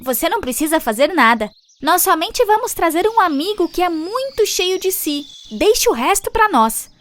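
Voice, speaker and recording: Cheeky high-pitched cartoon frog girl voiced by a woman. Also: sing-song, normal speed. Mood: sly, confident. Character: clever, sassy female frog challenging the boaster. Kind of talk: children's cartoon fable acting.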